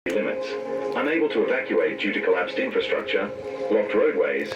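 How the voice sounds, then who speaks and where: A man playing a grave news anchor, delivering a formal, measured scripted emergency bulletin in an analog horror drama. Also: fairly low pitch, big pitch swings. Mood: grave, urgent. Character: grave male news anchor reporting a disaster. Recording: muffled lo-fi broadcast, loud music.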